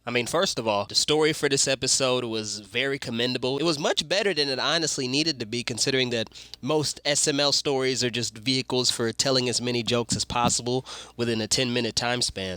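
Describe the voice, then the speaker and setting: Sick young man, bright dry commentary voice. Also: medium pitch, dry recording. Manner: casual slangy talk with swearing and fillers. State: sick, voice sounds off. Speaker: YouTube commentary host. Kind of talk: casual commentary talking to camera.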